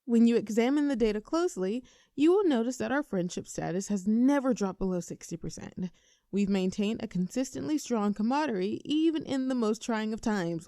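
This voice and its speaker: Mellow, earnest young woman, acted, close and dry. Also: mid-range voice, no room sound, close to an everyday voice. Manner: mock-formal presenter, earnest and teasing. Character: nervous, earnest young female best friend confessing a crush. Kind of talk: scripted roleplay audio addressed to the listener.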